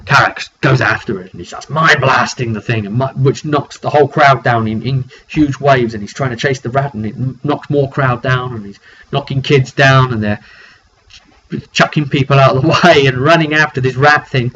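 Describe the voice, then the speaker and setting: A man's voice: medium pitch, normal speed, a bit bright. A man informally recapping a tabletop roleplaying session as game master, thinking aloud and repeating words as he goes. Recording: dull recording with faint background noise.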